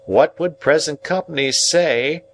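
A male narrator acts an earnest, simple working man from a storybook. He labours slowly over his phrases, rustic and kindly, lifted in pitch, with faint noise behind. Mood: quietly delighted. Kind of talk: audiobook character voice.

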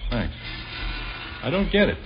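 A man's voice: a little high, big pitch swings, unhurried, faint music behind. An American actor playing a middle-aged, put-upon husband and father in a 1950s radio sitcom, with a dry, wry delivery. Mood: grumbling. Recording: dull old radio recording.

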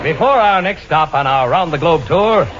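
An American man announces from a script in 1940s radio style. His voice sits high, and the old recording is dull with clear background noise. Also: animated, moderate pace, over a noisy crowd.

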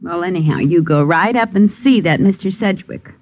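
A woman's voice: fairly low pitch, normal speed, noisy background, natural voice. An actress playing a querulous, grasping boarding-house landlady in a 1950s radio drama, scolding in folksy, ungrammatical speech. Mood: nagging, aggrieved. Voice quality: uneducated, folksy grammar. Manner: nagging, scolding. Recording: dull vintage radio recording.

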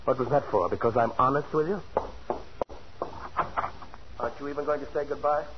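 An actor playing a cool, mercenary lover in a 1940s radio thriller, with blunt, slangy delivery. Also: male voice, mid-range voice, moderate pace, lightly acted. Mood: cool, dismissive. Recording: muffled 1940s radio recording, faint noise.

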